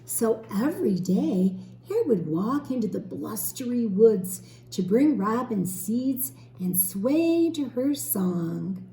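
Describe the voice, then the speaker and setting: A woman teacher reading a storybook aloud expressively to young children, pausing to explain and ask questions. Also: a little high, very animated, mellow. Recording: roomy echo, faint background noise.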